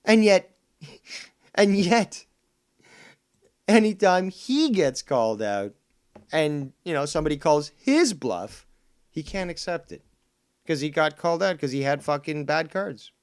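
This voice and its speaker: A man's voice: on the high side, lively intonation, unhurried, long pauses, clean tone. A Canadian man whose first language is Spanish, hosting and arguing back at a clip in a combative, profane, sarcastic way. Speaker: streamer host. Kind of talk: heated commentary on a clip.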